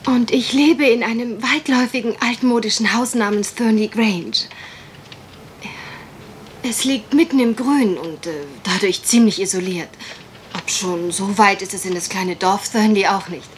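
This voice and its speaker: A woman's voice: medium pitch, a little slow, a little hiss or hum, lightly acted. A woman acting a genteel, worried young country heiress in a German radio mystery play, polite, well-spoken and a little hesitant.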